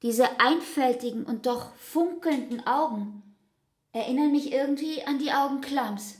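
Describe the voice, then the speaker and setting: An anguished, fatalistic woman in a literary radio drama. She speaks very slowly and deliberately in a fairly high voice, brooding over each phrase. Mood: uneasy.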